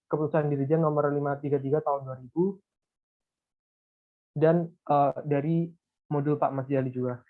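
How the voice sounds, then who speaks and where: Casting: young male student, slightly high voice, formally reading prepared answers with halts, heard through a narrow phone-like line. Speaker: student presenter. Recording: tinny phone-like call audio.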